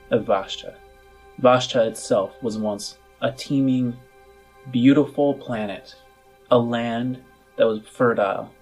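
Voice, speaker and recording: A man's voice: lowish voice, a little mellow, low-quality recording. A man speaking as a game master and podcast host, addressing the listener directly. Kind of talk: podcast announcement, then slow scripted scene narration. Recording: music under the voice.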